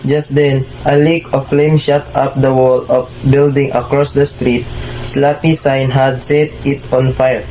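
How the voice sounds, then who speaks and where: A man's voice: medium pitch, little pitch movement, a little slow, dark tone, a little hiss or hum, low-quality recording. A man narrating a scripted tall tale for readers' theater.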